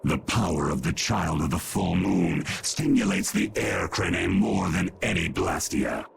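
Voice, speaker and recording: Very deep, gravelly ancient monster guardian. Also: male voice, noisy background, heavily acted. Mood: grave, stern. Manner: slow, solemn, grandiose. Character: ancient, imperious giant monster guardian, stern and merciless. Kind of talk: dubbed fantasy game voice acting.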